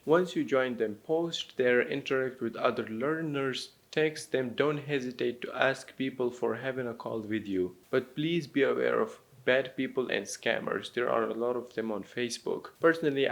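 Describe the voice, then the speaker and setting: Male online language teacher, Pakistani-American blended accent, medium pitch with vocal fry, plain encouraging explanations. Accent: Pakistani with some American influence. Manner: encouraging, simple step-by-step explaining. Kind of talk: instructional talk to viewers.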